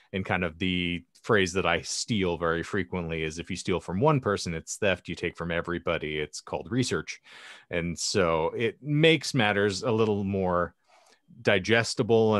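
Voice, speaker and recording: A man's voice: deep voice, fairly bright tone, dry recording, clean studio sound. A man hosting a panel podcast, chatting and telling anecdotes in wordy, ornate phrasing. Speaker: podcast host.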